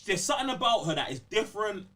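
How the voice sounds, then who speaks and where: A man's voice: sits high, sing-song, quick pace, a bit bright, recorded from a distance. A man with a London accent chatting casually as a podcast co-host, slangy and emphatic, often checking that the listener follows. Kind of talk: casual game review chat.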